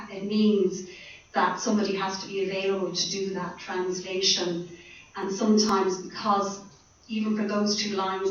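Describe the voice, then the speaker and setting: Measured Irish woman objecting at a meeting. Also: medium pitch, slight background noise. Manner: measured, reasoned, with frequent ums. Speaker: association committee member. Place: hotel function room. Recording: echoey hall, distant mic, dull lo-fi sound.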